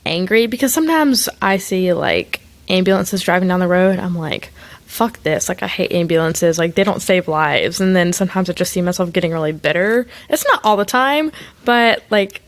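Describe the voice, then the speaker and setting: A woman's voice: on the low side, lively intonation, normal speed, no room sound. A woman hosting a podcast, asking long rambling questions in a casual, chatty way. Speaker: podcast host. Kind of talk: casual conversational interview.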